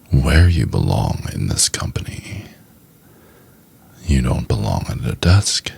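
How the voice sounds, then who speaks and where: A man playing a cruel, domineering corporate executive in an intimate ASMR roleplay, condescending and drawn-out, with a gravelly, husky, half-whispered voice. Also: rumbling low, exaggerated voice. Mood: mocking, commanding.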